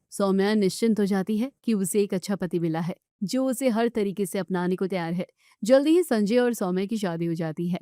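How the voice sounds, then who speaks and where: A woman's voice: medium pitch, mellow, no room sound, clean studio sound. A woman storyteller reading scripted narration of a family tale.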